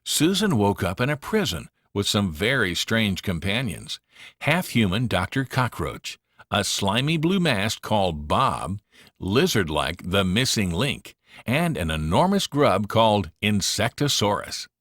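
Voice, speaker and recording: A man narrating a children's read-along storybook in an expressive, dramatic manner. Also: lowish voice, unhurried, no echo, clean studio sound.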